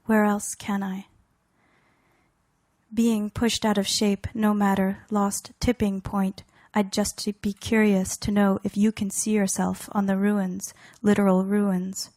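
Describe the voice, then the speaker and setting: A woman recites her poems with deliberate care, setting down short fragments and weighted pauses. Her pitch moves within a very narrow span, and her tone is soft-edged and mellow. The sound is close and dry. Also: level tone, dry recording. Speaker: poet reading own work. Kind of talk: poetry reading, measured recitation.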